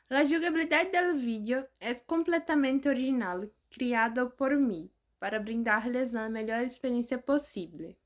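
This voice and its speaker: A woman with a Brazilian Portuguese accent introduces her channel in halting, non-native speech. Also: on the high side. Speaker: channel host.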